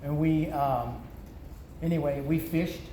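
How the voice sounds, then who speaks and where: A man's voice: on the high side, slow, warm tone. Older man reminiscing, echoey room. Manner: reminiscing, plainspoken. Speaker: friend giving a eulogy. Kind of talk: memorial remembrance. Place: memorial gathering room. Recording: echoey room with background noise.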